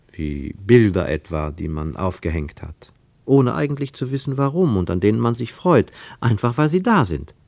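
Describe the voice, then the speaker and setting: A man narrating a literary radio play in a reflective, rhetorical manner with deliberate emphasis. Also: low voice, a little mellow, dry recording. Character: reflective literary narrator of a postwar travel story.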